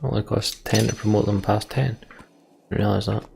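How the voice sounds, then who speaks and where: A man's voice: on the low side, steady tone, normal speed, creaky, a little mellow, slight background noise. A man giving casual gameplay commentary, muttering to himself and sometimes reading game text aloud. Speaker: let's player.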